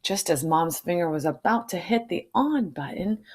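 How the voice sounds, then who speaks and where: A woman's voice: lowish voice, animated, moderate pace, a little mellow, breathy edge. A woman reading a children's storybook aloud, adding informal asides and checking in with her listeners. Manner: checks in with listeners using questions.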